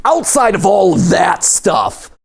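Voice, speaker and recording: A man's voice: sits high, very animated, slow, vocal fry, slightly rough, shouting. A man doing casual reaction commentary, reading on-screen text aloud and adding sarcastic, joking asides and exclamations. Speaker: reaction video host. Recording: music behind the voice.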